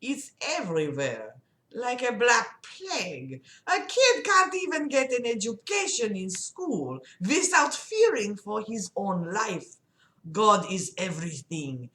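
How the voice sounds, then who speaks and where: Woman voicing a slow, zealous male asylum patient. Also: sits low. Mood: bitter preaching. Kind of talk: improvised voice in a story reading. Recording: roomy, echoing sound.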